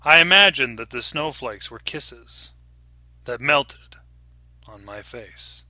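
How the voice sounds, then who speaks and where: A man reading a horror story in a measured, solemn, dramatic narration that drops softly at the ends of phrases. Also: mid-range voice, expressive, dry recording. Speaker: story reader.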